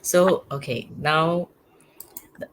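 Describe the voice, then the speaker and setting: A woman's voice: low voice, fairly even intonation, takes it slowly, slight background noise, low-quality recording. A woman hosting a live stream interview, upbeat and full of gushing thanks and reactions. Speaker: host.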